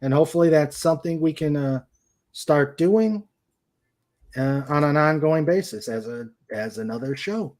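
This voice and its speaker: An older man hosting a casual livestream, greeting viewers in a halting way and often trailing off mid-sentence. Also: on the high side, lively intonation, darkish tone. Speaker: livestream show host.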